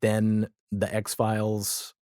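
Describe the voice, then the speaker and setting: A man speaking as a podcast guest in a casual pop-culture discussion, with halting asides as he lists things. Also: lowish voice, completely flat delivery, a little mellow, no room sound, studio quality.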